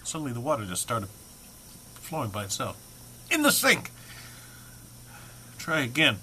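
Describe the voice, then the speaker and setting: A man's voice: medium pitch, huge pitch swings, unhurried, bright, forceful tone, slight background noise, poor audio. A man giving a dramatic comic reading of a humorous text, mock-serious in delivery with dry muttered asides. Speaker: reader.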